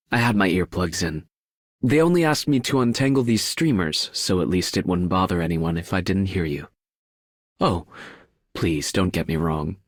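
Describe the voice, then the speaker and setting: A man playing a mild, apologetic and hopeful gentle character in a scripted game voice line. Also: deep voice, no room sound, studio quality.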